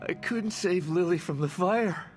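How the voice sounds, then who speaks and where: An actor playing a weary, guilt-ridden middle-aged father in a video game drama, brooding and halting. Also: high-pitched, faint music behind. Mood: anguished, guilty.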